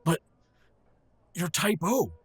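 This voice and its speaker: A man's voice: sits high, very animated, takes it slowly, slightly rough, dry recording, exaggerated voice. A man playing a stern middle-aged father in an audio drama, accusing and self-righteous toward his grown son. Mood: stunned. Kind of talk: audio roleplay drama acting.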